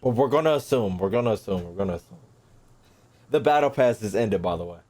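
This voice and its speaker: A man's voice: on the low side, expressive, fast talker, darkish tone. A man doing casual live gameplay commentary as a streamer, informal and profane, sometimes reading on-screen text aloud. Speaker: game streamer. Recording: game music behind the voice.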